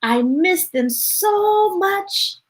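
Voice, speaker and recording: Woman voicing a young refugee girl, storybook voice, soft, wistful, very high. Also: animated, drawn-out words, faint music behind, exaggerated voice.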